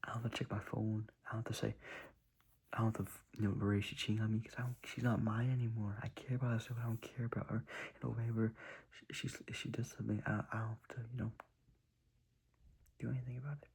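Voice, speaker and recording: A man's voice: fairly low pitch, level tone, fast, creaky, a little mellow, husky, rough recording. A young man giving earnest, rambling advice in a whispery voice, circling back and repeating himself.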